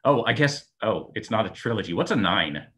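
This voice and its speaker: Middle-aged male guest, low voice, joking, webcam call. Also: sing-song, a little fast, pushed and bright. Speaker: guest co-host. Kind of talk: casual chat on live stream. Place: home study with bookshelves. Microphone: video call webcam.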